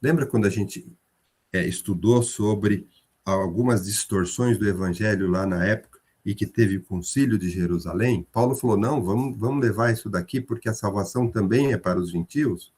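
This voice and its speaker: A man leading a group Bible study over a video call, explaining slowly and lifting his voice when he acts out quotes. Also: on the low side, darkish tone, slightly rough.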